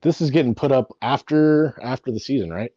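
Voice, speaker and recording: A man's voice: medium pitch, brisk, warm tone, no echo. A man chatting casually on a group commentary over a lo-fi recording. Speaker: cast member on commentary. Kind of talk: casual chat. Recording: dull lo-fi dry voice chat.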